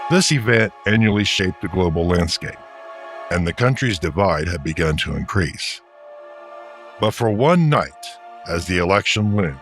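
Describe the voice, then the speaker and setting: A man narrating a radio drama as a solemn, ominous storyteller, with a grave, measured, portentous delivery. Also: low-pitched, fairly bright tone. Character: solemn, ominous male storyteller introducing an alien invasion. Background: music.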